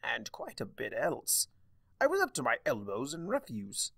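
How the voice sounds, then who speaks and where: A man voicing a theatrical, sardonic, mischievous male chaos spirit with a wry, drawn-out delivery in a fan fiction reading. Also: medium pitch, huge pitch swings, gravelly, fairly bright tone, dry recording, clean studio sound, exaggerated voice. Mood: aggrieved, theatrical.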